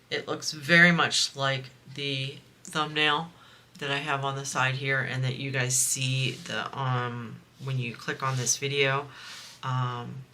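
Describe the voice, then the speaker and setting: A woman's voice: rumbling low, bright, forceful tone, a little hiss or hum. A woman from the US East Coast with a nasal voice, chatting in a rambling way while she works on a craft project for her vlog. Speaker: crafting vlogger. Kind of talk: casual chat while diamond painting.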